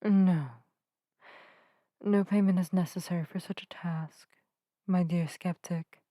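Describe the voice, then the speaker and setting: A woman playing a gentle, earnest witch healer in an ASMR audio roleplay, soft-spoken and soothing with old-fashioned, formal phrasing. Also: fairly low pitch, steady tone, slow pace, no echo, studio quality. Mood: gentle, sincere. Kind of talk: narrative ASMR roleplay addressed to the listener. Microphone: close microphone in a dry space.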